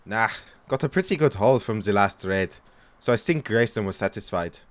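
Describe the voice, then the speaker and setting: A man's voice: lowish voice, lively intonation, normal speed, dry recording, natural voice. A man playing a nervous, good-natured pirate deckhand in an audio drama, speaking hesitantly and deferentially. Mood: casual, earnest.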